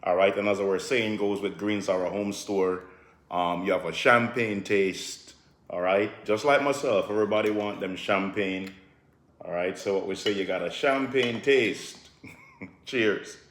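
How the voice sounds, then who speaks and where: A middle-aged man with a Jamaican accent, pitching home improvement deals in a relaxed, chatty way, peppered with "all right". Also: a little slow, roomy sound, a little hiss or hum. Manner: relaxed, repetitive "all right" fillers, sips a drink while talking. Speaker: home improvement company promoter. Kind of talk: casual promotional talk to camera.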